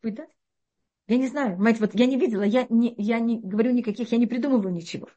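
Middle-aged woman teacher, quick and fairly high, animated, restarts a lot. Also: dull audio. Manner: animated explaining with frequent restarts and rhetorical questions. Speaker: Torah teacher. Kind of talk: interactive religious class.

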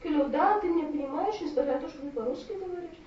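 A mother in the audience of a lecture, talking rapidly and searching for words as she explains her view. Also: high-pitched, a little mellow, slight background noise. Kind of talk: audience discussion. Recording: distant, echoey, muffled audience mic.

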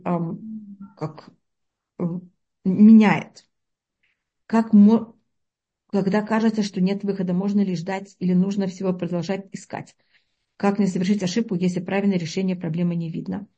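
Woman religious teacher, mellow husky voice, explanatory, asks listeners questions. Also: mid-range voice. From Uzbekistan. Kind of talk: lesson answering listeners' questions.

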